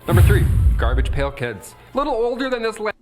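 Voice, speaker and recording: A man's voice: high-pitched, a little fast, over music. An American man in his late twenties hosting a countdown video, mixing scripted commentary with joking, conversational asides. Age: late 20s. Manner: jokey, animated, conversational. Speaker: stand-up comic.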